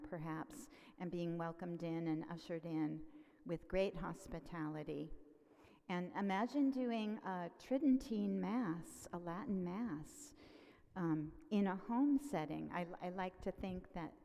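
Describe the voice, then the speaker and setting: A woman with a fairly low voice takes questions after a talk, musing aloud with pauses and fillers. Her voice rings out with a long reverb, as if she is in a large hall. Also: gravelly, mellow. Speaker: lecturer answering questions. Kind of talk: casual Q&A after a lecture. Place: church hall.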